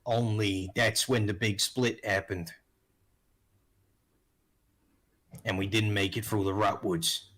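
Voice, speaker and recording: Man playing world-weary male adventurer, bitter, blunt, sweary, low voice, tabletop game. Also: steady tone, moderate pace, fairly bright tone. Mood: matter-of-fact. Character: bitter male ex-slave adventurer, afraid of orcs.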